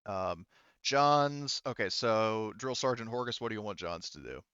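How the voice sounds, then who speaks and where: A man's voice: lowish voice, expressive, moderate pace, fairly bright tone, smooth, no echo, muffled recording. A man acting as game master, narrating and refereeing a tabletop roleplaying game in an informal, hesitant, think-aloud way.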